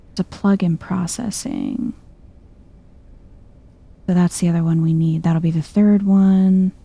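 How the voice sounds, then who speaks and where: A woman giving soft-spoken, chill ASMR-style commentary as she plays a video game, murmuring as if to herself. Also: fairly low pitch, vocal fry, husky. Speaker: video game streamer, let's player.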